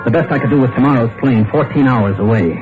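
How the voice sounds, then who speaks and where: A tinny old radio recording with loud music under the voice. An actor plays a frantic, hunted husband with a mid-range voice, urgent and melodramatic, as in a vintage mystery drama. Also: male voice, medium pitch, fast, close to an everyday voice. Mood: haunted, tense. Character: frantic, hunted husband fearing he will be killed. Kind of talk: 1940s-50s radio drama acting, first-person narration and dialogue.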